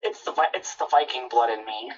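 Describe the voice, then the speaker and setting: A woman's voice: extremely low pitch, a little fast, breathy edge, recorded from a distance, muffled recording. A woman from Minnesota with a Minnesotan accent chatting casually, repeating and stammering as she talks. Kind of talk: casual chat.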